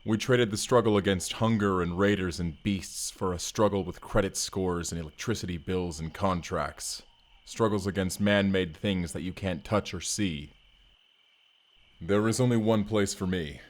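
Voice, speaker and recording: Man acting a very deep, gruff barbarian operative. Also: moderate pace, lightly acted. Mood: brooding, wistful. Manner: stilted, literal, plain short sentences without contractions. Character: gruff, earnest wasteland barbarian turned corporate combat operative. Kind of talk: immersive first-person audio roleplay acting.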